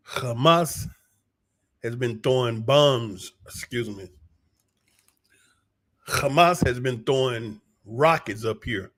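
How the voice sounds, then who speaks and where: An American man with a hoarse voice, talking casually to his viewers in a halting, unhurried, folksy way. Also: medium pitch, a bit bright. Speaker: vlogger giving a personal update. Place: small room at home, a bomb shelter used as studio.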